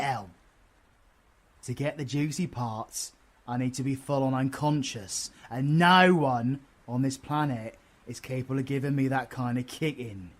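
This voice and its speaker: A man's voice: mid-range voice, lightly acted. A British man playing a cocky, sardonic, foul-mouthed but affectionate supervillain in an audio roleplay, with slangy, teasing delivery. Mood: defensive, cocky. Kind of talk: audio roleplay drama acting.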